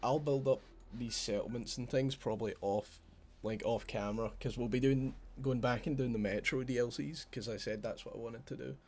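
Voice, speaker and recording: A man's voice: medium pitch, steady tone, moderate pace, hardly any pauses, darkish tone. A man with a Scottish accent streaming a video game, chatting casually, swearing freely and bantering with his viewers. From Scotland. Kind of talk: casual gameplay commentary.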